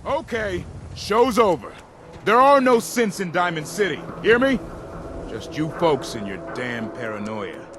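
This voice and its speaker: A man's voice: high voice, very animated, measured pace. An actor playing a stern, weary male guard officer in a video game, authoritative and dismissive. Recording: clear background noise.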